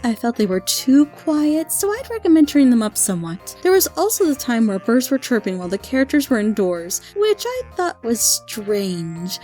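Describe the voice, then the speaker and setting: A woman with a high, soft-edged voice narrates a scripted review. Her pitch moves in animated swings while her phrasing stays measured and careful. Faint music plays behind her as she hosts. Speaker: host and reviewer of a monthly audio drama show.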